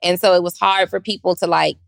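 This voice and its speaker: A woman with a squeaky, raspy voice, a business owner chatting casually on a panel and acting out voices as she talks. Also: a little fast, no echo, clean studio sound. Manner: animated, acts out voices in quotes.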